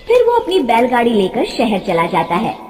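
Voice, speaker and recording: A woman's voice: a little high, huge pitch swings, normal speed, a little mellow, a little hiss or hum. A woman narrating a scripted story in a dramatic storytelling style. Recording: long reverb.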